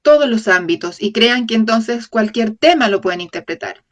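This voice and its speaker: A woman voicing a lecture for an interpreter, speaking in a measured way, often correcting herself mid-phrase and checking in with the audience. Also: on the high side, expressive. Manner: measured, with frequent self-corrections and tag questions to the audience. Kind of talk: voiced-over lecture in an open talk series.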